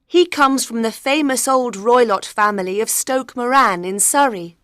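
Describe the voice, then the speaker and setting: Lady playing a worried young gentlewoman in an audiobook, highish voice, earnest n clear, dry studio sound. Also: moderate pace, dry recording, lightly acted. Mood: troubled, explaining. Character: frightened, desperate young gentlewoman of about thirty. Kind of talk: dramatised audiobook reading.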